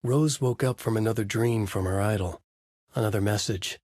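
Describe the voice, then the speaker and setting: A man narrating a dark thriller game as a brooding, haunted writer, in a deliberate, literary, dramatic delivery. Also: lowish voice, dry recording, studio quality. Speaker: voice actor playing a writer protagonist who narrates.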